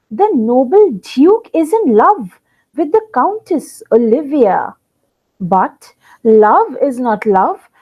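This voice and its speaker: A woman's voice: high voice, lo-fi sound. A woman narrator telling the story of a play in a dramatic, lingering theatrical style. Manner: dramatic, lingering, with repeated words for effect.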